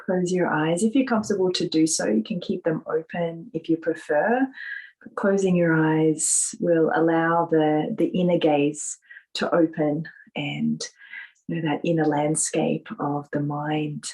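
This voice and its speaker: A woman leading a guided visualisation meditation, speaking softly in a gentle, soothing manner with drawn-out pauses. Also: low voice, steady tone, low-quality recording.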